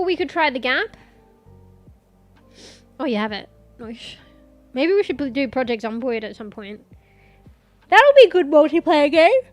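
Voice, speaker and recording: Woman streamer, stuffy nose, high voice, faint music behind. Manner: rambling, laughs and swears, reads search results aloud. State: congested, losing her voice. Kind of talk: casual live-stream chat.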